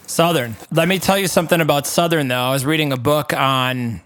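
A man's voice: fairly high pitch, fairly quick, a bit bright, dry recording. A man co-hosting a casual podcast, chatty and offhand. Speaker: podcast co-host. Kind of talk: casual chat.

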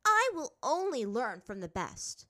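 A haughty young princess as acted out by a woman narrator in a storybook reading. Her voice is high and swings widely, with an imperious, hot-tempered insistence. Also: very animated, normal speed, bright, forceful tone, heavily acted. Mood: angry, determined.

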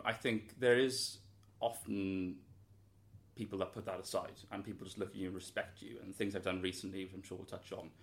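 A man's voice: sits low, gravelly. A young man from Wales, a student answering interview questions in an earnest, thoughtful way, full of hedges and restarts. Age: young adult.

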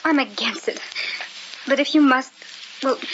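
An actress playing a frightened, devoted wife, imploring and emotional in an old-time radio melodrama. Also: female voice, sits high, unhurried, a bit bright. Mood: fearful, reluctant. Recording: dull old radio recording, faint noise.

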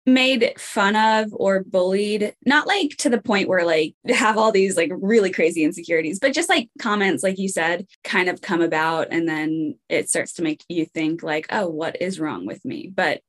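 A woman's voice: moderate pace, hardly any pauses. A young woman hosting a podcast, reading an upbeat intro and then chatting casually, saying "like" a lot. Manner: upbeat, bouncy pitch, says "like" a lot. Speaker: podcast host.

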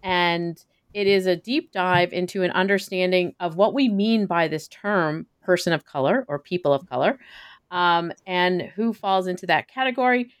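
A woman hosting a podcast, giving a measured, formal introduction of her guest. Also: fairly low pitch, smooth, no room sound, studio quality. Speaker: podcast host. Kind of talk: scripted host introduction.

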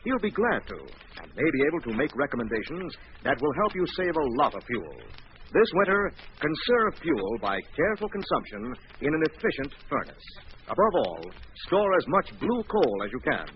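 A man's voice: a little high, big pitch swings, normal speed, muffled recording. A man announcing in scripted 1940s radio style, formal, emphatic and declamatory. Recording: noisy, band-limited 1940s radio recording.

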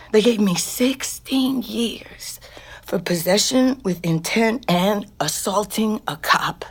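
An actress playing a bitter, foul-mouthed woman just out of prison, in naturalistic audio drama with slangy, emphatic delivery. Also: medium pitch. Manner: streetwise, slangy, profane, emphatic.

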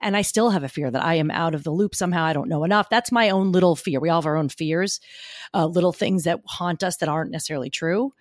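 A woman guest with a gruff, gritty voice, talking emphatically and animatedly in a podcast interview. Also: on the low side, fast, dry recording, studio quality. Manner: emphatic, argumentative, animated storytelling. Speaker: guest speaker coach.

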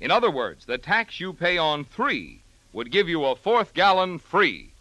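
A man's voice: a little high, very animated, unhurried, loud music behind the voice, poor audio. An American man announcing in polished, emphatic 1940s radio style, reading scripted sponsor copy.

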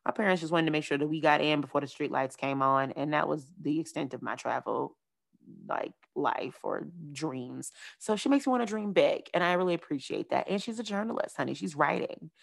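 A woman hosting a podcast in a casual, playful, animated way, calling listeners "y'all" and "honey.". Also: low-pitched, a little fast, vocal fry. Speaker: podcast host. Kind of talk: casual chat.

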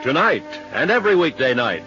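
A man announces in vintage 1950s radio style. His voice is fairly high for a man. Prominent music plays behind him on a dull, aged recording. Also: very animated, a little fast. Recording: muffled old radio broadcast with music.

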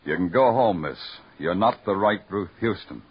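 An actor playing a dry, commanding police lieutenant in an old-time radio crime drama. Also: male voice, sits low, expressive, normal speed. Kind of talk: 1940s radio acting. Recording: muffled old radio recording, faint hiss.

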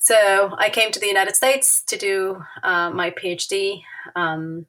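A middle-aged woman from Argentina, a native Spanish speaker, talking about her research in an interview and pausing often with fillers. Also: medium pitch, steady tone, a bit bright, low-quality recording. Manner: thoughtful, many hesitations and fillers. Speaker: guest author, historian. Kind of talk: academic interview.

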